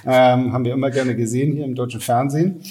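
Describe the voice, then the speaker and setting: A man's voice: medium pitch, steady tone, quick pace, darkish tone, slight background noise. A man, talking casually and matter-of-factly as an interview guest. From Hamburg, Germany. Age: 40s. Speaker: podcast guest, venture capitalist.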